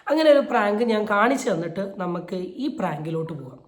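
A woman delivers opinion commentary in an indignant, emphatic way. She repeats phrases for stress, her mid-pitched voice ringing in a roomy, echoey space. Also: expressive, fast talker. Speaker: YouTube commentator. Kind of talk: opinion commentary on a viral video.

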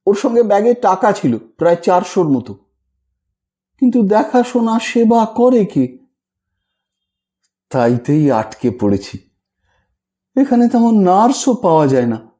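A man voicing a gentle, remorseful woman renunciate in an audio story, confessing in a weary, halting, reflective way. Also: high voice, sing-song. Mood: troubled recounting.

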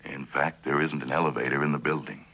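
An actor playing a sardonic courtroom prosecutor in a 1960s television drama, clipped and cross-examining. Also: male voice, very deep voice, steady tone, fast, gravelly, bright, forceful tone, slightly rough, dull audio, exaggerated voice. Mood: pressing, sardonic. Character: forceful sardonic courtroom prosecutor grilling a witness.

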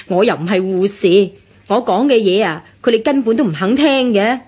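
An actress playing a chatty, fussy household servant with Hong Kong Cantonese speech in a radio drama. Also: female voice, mid-range voice, normal speed, darkish tone, slightly rough, natural voice. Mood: advising.

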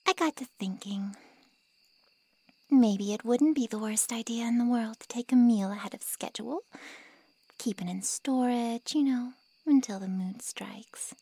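Snide man-eating she-monster , played by a woman for ASMR roleplay: breathy, taunting, unhurried, very dry sound. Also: on the high side, mellow, no echo. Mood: smug, sly. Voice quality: breathy, often half-whispered. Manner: sarcastic, insulting, teasing drawl. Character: snide, taunting female monster who feeds on men.

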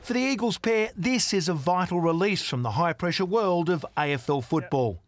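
Australian man, news reporter voice-over, high pitch, crisp and deliberate.

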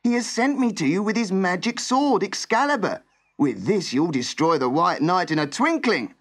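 A man voicing an insistent servant bearing royal orders, urgent and loud, in a storybook tale. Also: high-pitched, expressive, moderate pace, voice pushed up.

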